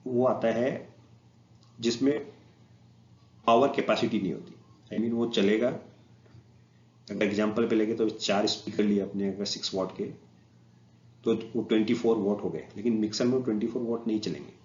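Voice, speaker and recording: A man's voice: on the low side, fairly even intonation, normal speed, long pauses, a little mellow. A man with an Indian accent giving an informal slide lecture as an instructor, mixing Hindi with English technical terms. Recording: echoey room, muffled lo-fi, faint noise.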